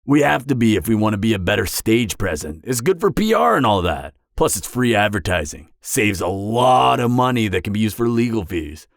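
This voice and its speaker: A man's voice: medium pitch, dry recording, studio quality. A young man playing a charming, vain businessman supervillain on a blind date in a romantic comedy audio roleplay, talking conversationally and sarcastically to the listener. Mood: smug, chatty. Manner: conversational, animated, exasperated venting with sarcastic edge.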